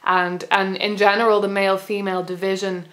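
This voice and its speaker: A young Irish woman in her twenties, bilingual, talking to camera in a casual, reflective way with many pauses, restarts and small laughs. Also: medium pitch, flat delivery. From Ireland. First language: bilingual Irish speaker. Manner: hesitant, many ums and restarts, soft laughs. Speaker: pagan video blogger.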